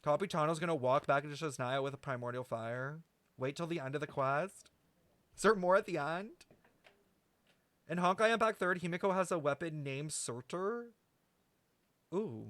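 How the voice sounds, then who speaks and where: A man streaming casual game commentary, talking to chat in an animated, slangy, sweary way. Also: fairly high pitch, no room sound. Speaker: streamer reacting to a video game. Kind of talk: casual livestream commentary and lore speculation.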